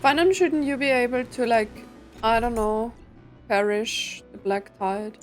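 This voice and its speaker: Female let's-play host, slow delivery, casual asides and mumbled reactions, faint game music underneath. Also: on the high side. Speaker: game streamer playing through a story quest.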